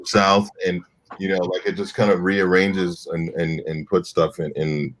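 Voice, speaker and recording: A man on a discussion panel who speaks haltingly and restarts his sentences often. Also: low-pitched, flat delivery, fairly bright tone, poor audio.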